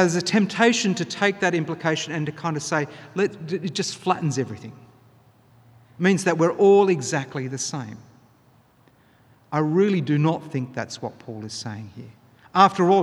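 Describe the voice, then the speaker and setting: A man preaches in an earnest, emphatic way. His voice sits a little high for a man and has a husky edge. A long reverb trails his words through the hall. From Australia. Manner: earnest, emphatic, builds to louder stresses. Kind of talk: sermon.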